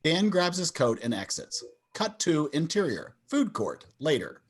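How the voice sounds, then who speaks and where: A man's voice: a little high, very animated, a little slow. A man narrating stage directions in a scripted table read over a video call.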